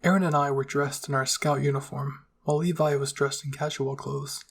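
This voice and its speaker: A man's voice: mid-range voice, fairly even intonation, normal speed, gravelly, slightly rough. A man narrating a first-person fan fiction story as an ASMR roleplay.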